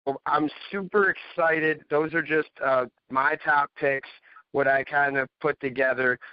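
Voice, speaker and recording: A man's voice: on the high side, steady tone, a little slow, smooth, dry recording. A man hosting a casual panel chat, speaking informally and chummily with his co-hosts. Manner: informal, addresses co-hosts as buddy and bro. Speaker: podcast host. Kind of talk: casual panel chat about games and movies. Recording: slightly dull, lo-fi audio.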